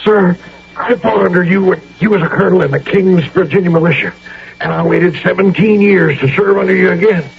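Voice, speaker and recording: High-voiced earnest old soldier. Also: male voice, brisk, gravelly. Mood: insistent. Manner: insistent, respectful. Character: earnest, stubborn veteran army sergeant. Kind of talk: radio drama acting. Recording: muffled radio recording with hum.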